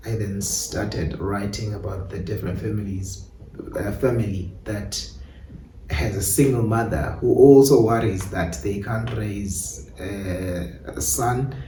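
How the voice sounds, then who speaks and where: Casting: South African male theatre-maker, soft dark tone, reflective interview delivery, roomy off-mic sound. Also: on the low side, fairly even intonation, slow pace, distant mic. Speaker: playwright and director being interviewed. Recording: echoey room, off-mic, faint noise.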